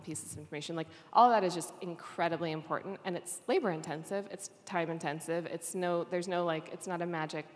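A woman on a discussion panel talking casually, acting out imagined quotes as she explains. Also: fairly low pitch. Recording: reverberant hall.

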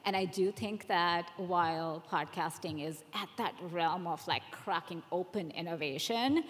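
A woman's voice: lowish voice, a little slow. A woman on a conference panel giving her opinion in an emphatic, conversational way. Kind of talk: panel discussion, personal opinion with examples. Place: conference hall, live panel. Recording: echoey hall with long reverb.

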